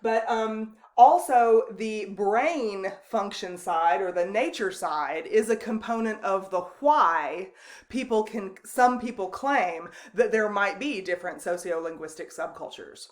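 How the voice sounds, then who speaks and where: A woman instructor giving a recorded online lecture, speaking loosely and conversationally with frequent ums and restarts and a loud voice. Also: medium pitch, expressive, a little slow. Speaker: college instructor.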